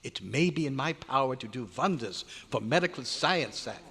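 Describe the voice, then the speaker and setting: An excitable German research doctor, played by a man, with bright, animated, dramatic delivery in old radio horror style. His diction is formal and emphatic, and the hall rings around him with long echo. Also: medium pitch, normal speed. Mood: grave, intent. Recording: live hotel meeting room, long echo.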